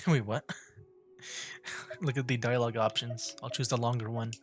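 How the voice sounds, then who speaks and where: A man's voice: mid-range voice, steady tone, unhurried, soft background music. A man streaming a video game, chatty and laughing often in casual commentary.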